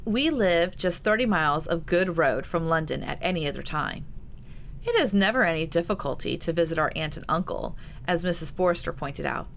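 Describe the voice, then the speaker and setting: Woman as a sensible, low-voiced young elder sister. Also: expressive, vocal fry, slight background noise. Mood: coolly persuasive. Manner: measured, chiding. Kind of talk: audiobook character dialogue.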